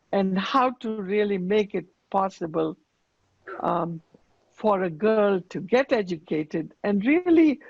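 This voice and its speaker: An earnest woman speaks slowly, with a gravelly, fry-tinged voice. Her answers come with frequent pauses and fillers. The sound is dry and muffled, like lo-fi video call audio. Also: mid-range voice, a little mellow, no room sound. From Afghanistan. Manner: earnest, unhurried, many fillers. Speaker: panelist running girls' schools. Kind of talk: panel discussion answer.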